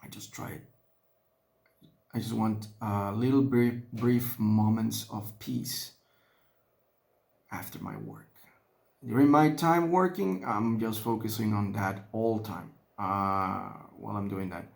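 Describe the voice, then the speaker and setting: A man's voice: on the low side, animated, slow pace, gravelly, a little mellow. A man whose first language is Spanish talks with a Spanish accent, thinking aloud with many restarts while he paints miniatures at a desk. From Mexico. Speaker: hobby vlogger. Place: indoor hobby desk.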